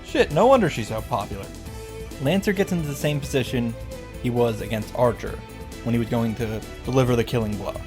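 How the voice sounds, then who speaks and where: A man's voice: medium pitch, expressive, fairly quick. A man delivering a scripted comedic recap commentary in a wry, profane, mock-grandiose manner. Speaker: visual novel reactor and narrator. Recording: background music under the voice.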